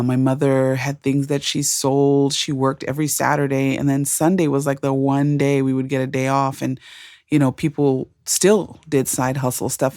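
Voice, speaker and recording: Woman from zimbabwe, middle-aged, talks slow and earnest, dry studio-ish sound. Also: very deep voice, mellow, no room sound. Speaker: podcast co-host. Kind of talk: reflective conversational storytelling.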